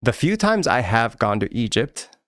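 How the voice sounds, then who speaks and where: A man's voice: mid-range voice, very animated, moderate pace, a bit bright, no echo. An American man hosting his own channel, giving candid, earnest commentary with touches of dry humor. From United States. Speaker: YouTube channel host. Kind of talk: personal commentary to camera.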